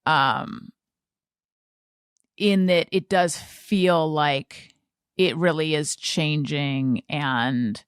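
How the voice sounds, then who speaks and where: Female podcast host, low voice, slow and halting conversational delivery, close dry sound. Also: clean tone, no echo. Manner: halting, thinks aloud, repeats words. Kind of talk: casual conversational podcast talk.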